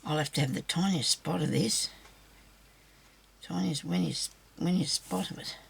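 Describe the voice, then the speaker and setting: A middle-aged woman with a low raspy voice, chatting and rambling to viewers through a crafting demo. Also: moderate pace. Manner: rambling, self-chuckling, talks to viewers. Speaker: craft vlogger.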